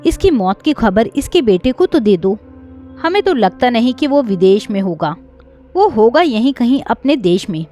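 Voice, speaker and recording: A woman's voice: a little high, very animated, moderate pace, warm tone, faint music behind. A woman voicing gossipy, suspicious elderly residents of an old-age home in a story. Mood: puzzled. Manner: questioning, gossipy.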